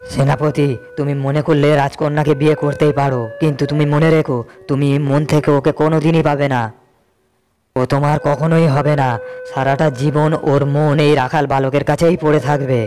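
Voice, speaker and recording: A man's voice: a little high, flat delivery, brisk, a little mellow, soft background music, lightly acted. A man voicing a stern, proud king in a storybook cartoon, speaking in a commanding, scolding way. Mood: thoughtful.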